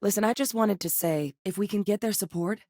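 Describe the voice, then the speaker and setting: An actress voicing a composed female scientist-soldier companion in a video game, speaking in a measured way. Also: medium pitch, no echo, natural voice. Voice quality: measured and composed, with sudden urgent shouts in combat. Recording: dry studio recording.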